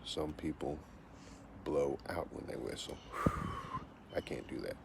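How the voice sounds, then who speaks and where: Man, 40s, deep voice. Reads questions and answers them matter-of-factly. Casual self-interview, noisy recording. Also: slow pace, creaky. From Texas, USA. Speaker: vlogger answering a question tag.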